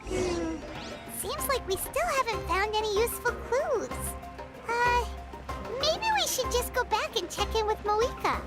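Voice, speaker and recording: A very high, childlike little fairy companion from a video game. The delivery is chirpy and eager, with lively swings in pitch, over loud music. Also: female voice, a little slow. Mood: eager, unsure. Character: small cheerful floating fairy companion, childlike girl.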